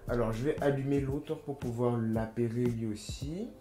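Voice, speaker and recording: A man's voice: mid-range voice, fairly even intonation, normal speed, mellow, faint music behind. A young man casually unboxing and testing earbuds on his vlog, thinking aloud in a chatty, slangy, self-mocking way. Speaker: YouTuber.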